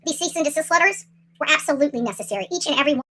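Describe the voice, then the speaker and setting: Very high, bright, fast impassioned ranter. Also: expressive. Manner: confrontational, emphatic, repeats phrases for effect; salty, swearing. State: upset, agitated. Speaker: livestream host commenting on a missing-person case. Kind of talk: impassioned livestream rant.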